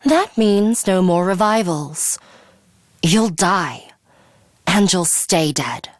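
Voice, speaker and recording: A woman voicing a cool, guarded young female fighter in a video game, with a measured, composed and imperious way of speaking. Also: fairly low pitch, lively intonation, dry recording, studio quality. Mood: subdued. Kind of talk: English video game voice acting, dramatic anime-style dialogue.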